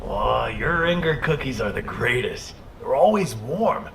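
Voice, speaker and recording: An actor playing a soft-hearted, appreciative guard in a video game. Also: male voice, medium pitch, animated, measured pace, gravelly, slight background noise. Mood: grateful. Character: grateful, soft-hearted male guard on watch.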